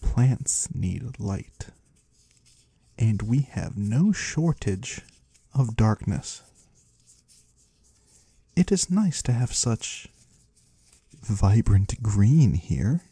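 A mysterious disembodied trader from the void, played by a man, speaks slowly in a husky, breathy hush. His pitch drifts up and down with courteous curiosity, as in an intimate ASMR roleplay. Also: big pitch swings, no echo, full character voice. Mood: curious, pleased. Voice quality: husky, breathy, sometimes whispering. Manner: slow, hushed, gently curious. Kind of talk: soft-spoken ASMR roleplay. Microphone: close, dry microphone.

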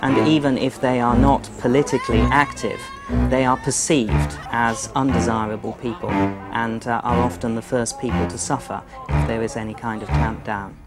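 A speaker giving a calm, analytical explanation in an interview. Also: medium pitch, moderate pace, loud music behind the voice.

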